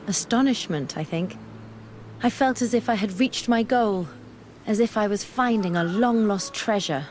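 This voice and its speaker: An actress playing an earnest, scholarly young woman researcher in a fantasy video game, speaking in measured, formal phrases. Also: mid-range voice, a little mellow, slight background noise, natural voice. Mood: reflective, warm. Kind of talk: video game dialogue acting.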